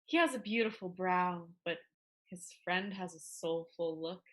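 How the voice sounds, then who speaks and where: A woman's voice: on the low side, unhurried, natural voice. A woman playing a rueful, defiant teenage schoolgirl in a dramatic reading of a period play. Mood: admiring.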